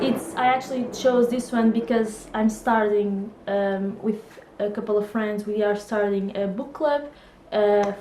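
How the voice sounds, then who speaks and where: A woman's voice: fairly high pitch, darkish tone, roomy sound, slight background noise, poor audio. A woman in her twenties from Portugal with a Portuguese accent, chatting casually to camera about books, laughing often and hesitating with fillers. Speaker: book vlogger.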